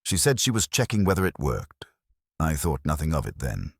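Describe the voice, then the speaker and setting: Careful, unsure female surgery assistant played by a male narrator, very low pitch, dry sound. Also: very animated, normal speed, slightly rough, no room sound, exaggerated voice. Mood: uneasy. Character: neat, hesitant female surgery assistant witness.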